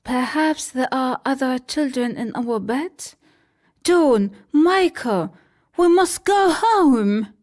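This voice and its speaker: A woman's voice: sits high, lively intonation, takes it slowly, darkish tone, pitched above the performer's own voice. A woman voicing a caring, motherly girl in a storybook reading, earnest and reassuring.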